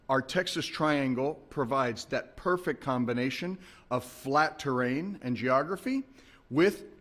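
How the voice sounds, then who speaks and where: A male lawmaker at a podium microphone, with a mid-pitched voice. He speaks with emphatic, rhetorical flair, moving easily between two languages as he addresses the press. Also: fairly bright tone. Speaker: state legislator, committee chairman. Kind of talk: press conference remarks. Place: press conference.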